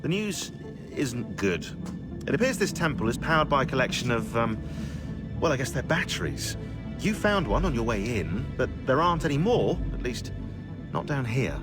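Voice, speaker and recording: An actor playing a sarcastic researcher in a video game, with a dry, wry way of talking. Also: male voice, mid-range voice, big pitch swings, normal speed, lightly acted. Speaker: researcher on the team. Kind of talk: video game cutscene dialogue. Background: music.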